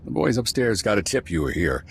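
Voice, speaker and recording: An actor playing a plain-spoken male field team leader in a spy game, talking in a measured, folksy, sincere way. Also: fairly low pitch, very animated, creaky, breathy edge, heavily acted. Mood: guarded, cordial. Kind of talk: video game drama acting.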